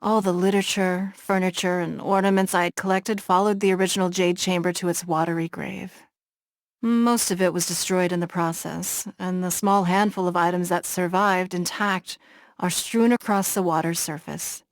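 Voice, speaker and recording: A woman voicing a poised, shrewd noblewoman who leads a harbor city, speaking in a measured, formal and composed way in a game cutscene. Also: lowish voice, fairly even intonation, no room sound, studio quality, lightly acted. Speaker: game character.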